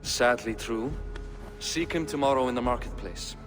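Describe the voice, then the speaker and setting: A man's voice: mid-range voice, moderate pace, natural voice. An actor playing a genial but world-weary Viking king in a video game, with a wry, old-fashioned way of talking. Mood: troubled, wry. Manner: wry, self-deprecating, old-fashioned phrasing. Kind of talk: dramatic video game acting. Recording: music behind the voice.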